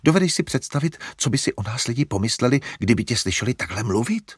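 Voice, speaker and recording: Man doing a high, fretful fussing mother. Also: very animated, slightly rough, dry recording, studio quality. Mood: flustered coaxing. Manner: flustered, coaxing, hesitant. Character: fussy, fretful middle-aged mother.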